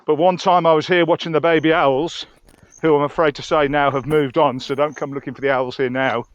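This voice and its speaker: Male hiking vlogger, slightly high voice, rambling conversational delivery to camera, somewhat muffled open-air recording. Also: steady tone, fairly quick. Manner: chatty, rambling, musing aloud. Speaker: vlogger reviewing gear outdoors. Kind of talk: casual narration to camera while hiking. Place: outdoors on a mountain trail.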